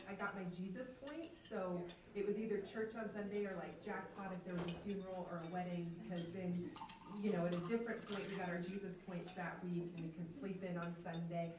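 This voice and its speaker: A woman in her thirties from the American South giving an earnest faith testimony as keynote speaker, holding a handheld mic in a large banquet room. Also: medium pitch. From Atlanta, US South. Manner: earnest, emphatic storytelling. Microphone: handheld microphone. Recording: echoey hall, from far off.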